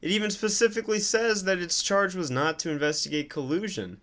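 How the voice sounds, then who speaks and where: A man doing casual political reaction commentary, sardonic, incredulous and quick to swear. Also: high voice, a bit bright. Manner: sardonic, incredulous, foul-mouthed. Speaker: streamer commenting on news clips.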